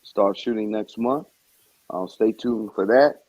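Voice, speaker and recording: A comedian and actor talking about his upcoming shows on a video call, halting with many ums and uhs. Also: lowish voice, a little mellow, no room sound, highs cut off. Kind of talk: casual video call interview.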